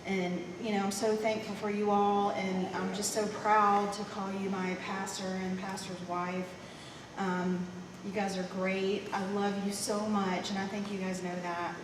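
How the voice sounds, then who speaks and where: A woman's voice: mid-range voice, little pitch movement, background noise. Distant woman in an echoey church hall. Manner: halting, with many "um"s and "you know"s. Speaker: church member giving a tribute. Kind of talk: emotional, halting tribute speech. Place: large church fellowship hall.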